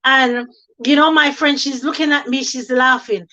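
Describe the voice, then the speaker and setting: Higher-voiced woman, lo-fi call audio. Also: steady tone, moderate pace, sharp tone. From born in Jamaica, raised in England. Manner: earnest storytelling, slips into quoting others. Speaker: guest, nurse running a charity. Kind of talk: telling her story in an interview.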